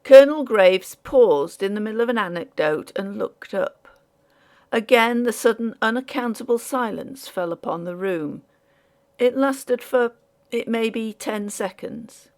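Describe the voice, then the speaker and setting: A woman narrating an audiobook story in a measured, formal storytelling manner. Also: on the high side, expressive, darkish tone.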